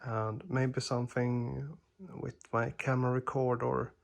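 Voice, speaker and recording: A man talking slowly and carefully in English, with a gravelly, creaky edge to his voice. His pitch stays flat and level as he hesitates and restarts his sentences. He is recorded on a camera mic in a dark living room at home. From Sweden. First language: Swedish. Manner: hesitant, many fillers and restarts, apologetic. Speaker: TV reviewer. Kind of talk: casual product demo narration.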